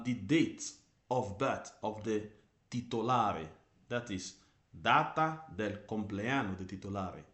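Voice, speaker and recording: A man teaching driving theory, reading out Italian rules and explaining them slowly in English with lots of repetition. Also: medium pitch, reverberant. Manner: reads Italian text then explains it in English, repeating terms. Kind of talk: slow explanatory lesson.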